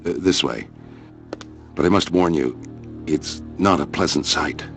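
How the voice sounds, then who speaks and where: An actor playing a formal, polite police inspector in a video game, speaking in a measured, apologetic way. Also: male voice, very deep voice, creaky, soft background music, heavily acted. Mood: polite, somber. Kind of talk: video game cut scene acting.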